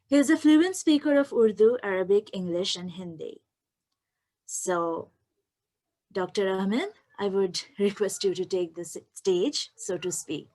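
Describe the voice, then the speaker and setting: A woman hosting a webinar, reading a speaker's introduction in a formal, measured way. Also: medium pitch, darkish tone, low-quality recording. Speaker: webinar host.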